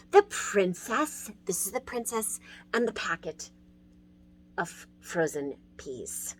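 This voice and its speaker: A woman's voice: fairly low pitch, a bit bright. A middle-aged woman casually and enthusiastically recommending books in an informal style, as a children's librarian would. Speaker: children's librarian hosting book talks.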